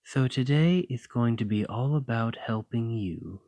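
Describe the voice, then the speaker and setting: A man voicing a doting, teasing male dragon lover in an ASMR roleplay, talking softly and coaxingly. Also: mid-range voice, a little slow, no room sound, lightly acted. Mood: tender. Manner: soft, playful and coaxing.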